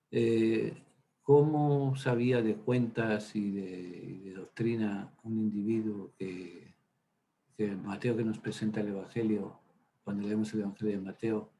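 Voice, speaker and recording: Low mellow-voiced priest lecturing casually. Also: male voice. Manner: chatty, digressive, with hesitant fillers and asides. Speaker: priest teaching a Bible workshop. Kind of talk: informal Bible lecture.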